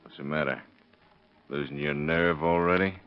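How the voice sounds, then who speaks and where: A man's voice: a bass voice, fairly even intonation, normal speed, smooth. An actor playing a shrewd male police detective with a dry, needling manner, in 1930s radio drama style. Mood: dry, goading. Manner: dry, needling, leading questions. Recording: dull lo-fi old radio recording.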